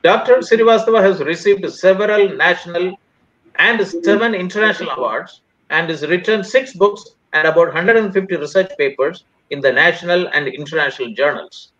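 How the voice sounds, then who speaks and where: A man with an Indian accent speaks as a formal webinar host, delivering a scripted address phrase by phrase. His voice sits fairly high and has a sharp, forceful edge. The audio is somewhat dull and lo-fi. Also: normal speed.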